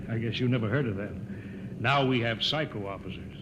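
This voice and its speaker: An actor playing a wry, world-weary, defiant veteran ship's officer in 1950s radio drama style. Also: male voice, measured pace, big-room sound, muffled recording, lightly acted. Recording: old radio recording with loud rumble.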